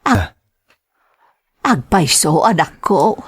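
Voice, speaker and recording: A woman's voice: lowish voice, very animated, very slow, husky, full character voice. A woman playing a concerned mother who confides hard truths to her grown son in a radio drama, earnest and motherly.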